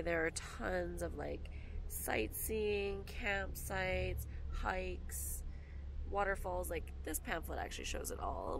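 A woman's voice: fairly low pitch, gravelly, a little hiss or hum, poor audio. A woman in her thirties from Alberta, Canada, chatting casually with lots of fillers in a travel vlog, filmed on a camera held at arm's length inside a truck. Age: 30s. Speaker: vlogger.

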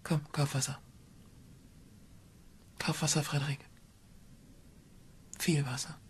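An actress playing a brooding woman in a German radio crime drama, breathy and sometimes nearly whispering. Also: deep voice, level tone, takes it slowly, noisy background. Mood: dreamy, eerie.

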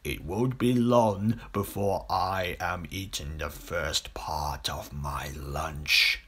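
A man's voice: deep voice, slow pace, fairly bright tone, slightly rough, voice pushed down, heavily acted. A man voicing a sly, greedy enormous crocodile in a children's storybook, muttering his schemes to himself. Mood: gleeful scheming.

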